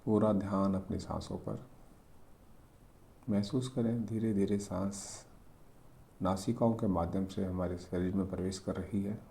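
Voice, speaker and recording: A man leading a guided meditation, speaking calmly and gently in short phrases with pauses as he gives instructions. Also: low voice, creaky, slight background noise.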